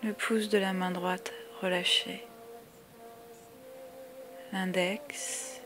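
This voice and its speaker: A woman guiding a yoga relaxation meditation with calm, soothing, measured instructions and long pauses. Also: mid-range voice. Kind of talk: guided meditation, slow spoken body-scan instructions. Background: music.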